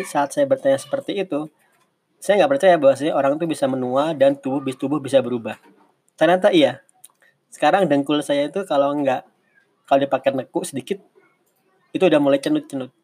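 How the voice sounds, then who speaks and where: Male mentor, 40s, average pitch, informal and explanatory, answers questions with digressions. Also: darkish tone. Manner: conversational, explanatory, with digressions and self-corrections. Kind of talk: casual advice talk in a live session.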